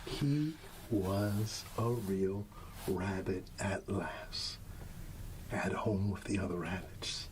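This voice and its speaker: A man speaking softly and soothingly as a father at bedtime in an ASMR roleplay, often trailing toward a whisper, with a creaky voice. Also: deep voice, slow, background noise. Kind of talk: soft ASMR bedtime storybook reading, talking to a child.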